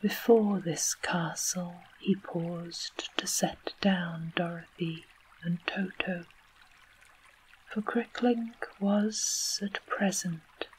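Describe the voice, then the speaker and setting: A storyteller narrates a fairy tale in a soft, unvoiced whisper, each phrase drawn out very slowly. There is a faint hiss of background noise behind the voice. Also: medium pitch, rough recording. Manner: slow, unvoiced whisper throughout. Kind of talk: whispered bedtime story reading.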